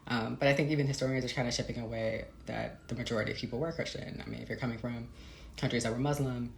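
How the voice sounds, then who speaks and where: Woman in a roomy space, creaky voice. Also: rumbling low, steady tone, fast, slight background noise. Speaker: interviewed novelist. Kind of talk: reflective conversational interview. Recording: roomy, echoey sound.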